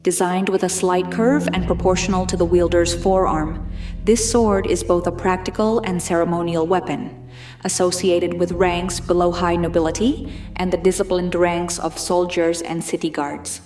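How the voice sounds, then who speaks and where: A woman narrator giving a measured, formal scripted reading of fantasy lore, in documentary style. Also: on the low side, steady tone, a little mellow, reverberant, soft background music.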